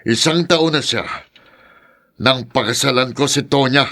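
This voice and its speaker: A cruel, bitter middle-aged father in a podcast drama. He has a medium-pitched voice and speaks curtly, gruff and dismissive. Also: a little slow, a bit bright. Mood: cold, bitter. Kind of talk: Filipino podcast radio drama acting.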